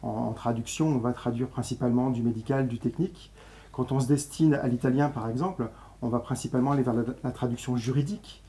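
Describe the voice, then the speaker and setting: A man's voice: mid-range voice, steady tone, fast talker, mellow. A man in his thirties, deputy director of a school, answering interview questions in a studio with many hesitations. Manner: explanatory, with frequent hesitations. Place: TV studio set, seated at a table.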